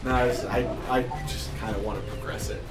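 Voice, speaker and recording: A man's voice: medium pitch, steady tone, fairly quick, music in the background. A man teasing his friends and eager to take his turn while they play a video game. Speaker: let's play co-host. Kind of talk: casual gaming banter.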